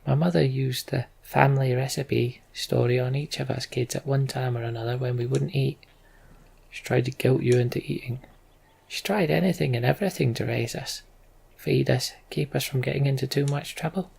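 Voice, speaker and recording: A man voicing a warm, teasing mother and archer, earnest and gently amused, in a story game. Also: mid-range voice, fairly even intonation, normal speed, vocal fry, husky. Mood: warm, reflective.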